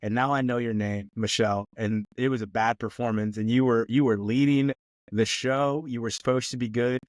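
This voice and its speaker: A man's voice: medium pitch, fairly even intonation, normal speed, dry recording, clean studio sound. A man co-hosting a movie review podcast, rambling with lots of fillers and laughing often in casual chat. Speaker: podcast co-host.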